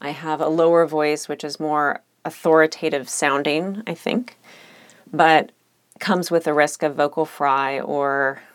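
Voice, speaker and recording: A woman hosts a solo podcast in a low, steady voice with a touch of vocal fry and uptalk. She talks in a reflective, measured, candid way. The sound is dry and close. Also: no echo. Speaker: podcast host, lawyer.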